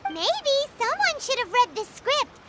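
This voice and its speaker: A woman's voice: very high voice, sing-song, a little slow, very noisy, heavily acted. A woman voicing a tiny, bratty female companion in a game cutscene, snarky and teasing.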